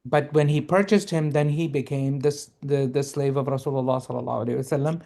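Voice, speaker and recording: A man teaching a reading lesson, explaining slowly and patiently and checking often that his students follow. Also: mid-range voice, steady tone, creaky, warm tone. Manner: patient, explaining, checks understanding with "right?" and "okay?". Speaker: Arabic teacher.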